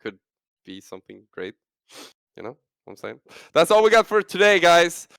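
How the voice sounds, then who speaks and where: A man casting esports in a casual, energetic post-game chat. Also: a little high, normal speed, creaky, clear, resonant tone, no echo. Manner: energetic, excitable, loud sign-offs. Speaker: esports caster.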